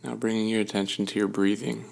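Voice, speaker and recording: A man leading a guided meditation with gentle, soothing instruction and unhurried pauses. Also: lowish voice, level tone, gravelly.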